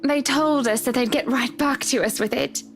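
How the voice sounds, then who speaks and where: An actress playing a genteel, wistful married woman in a visual novel game, speaking politely and in measured phrases with a creak in her voice. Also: high voice, soft background music, close to an everyday voice. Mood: polite, resigned.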